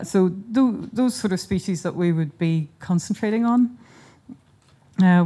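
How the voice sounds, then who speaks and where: A woman giving an earnest, informal conference talk in a hotel conference room, often pausing and restarting her sentences. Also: lowish voice, dark tone. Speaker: conference speaker and seed charity founder. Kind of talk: conference presentation.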